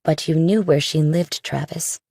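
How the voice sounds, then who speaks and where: A woman's voice: low voice, fairly even intonation, a little slow, creaky, a little mellow, no echo, studio quality, exaggerated voice. A woman voicing a stern, pious middle-aged missionary mother in audiobook dialogue. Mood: probing.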